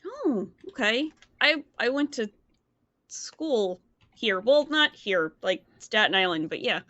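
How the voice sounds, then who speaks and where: A woman playing a forgetful, earnest young vampire in a tabletop game, stammering and halting as she talks. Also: a little high, sing-song, bright, forceful tone, dull audio, exaggerated voice.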